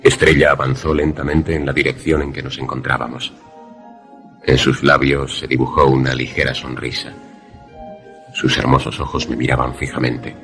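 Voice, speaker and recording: Male actor as haunted lovelorn narrator, extremely deep creaky voice, solemn, measured, radio suspense drama, music underneath. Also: flat delivery, a bit bright. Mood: solemn, suspenseful. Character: haunted, lovelorn former student, grave narrator.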